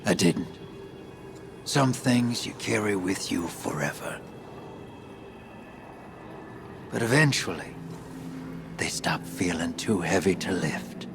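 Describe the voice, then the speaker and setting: An actor playing a world-weary roguish survivor in a video game, with a gravelly drawl, speaking in wry, clipped phrases. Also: male voice, sits low, animated, a little slow, music in the background, heavily acted. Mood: weary, reflective. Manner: wry, understated, clipped storytelling.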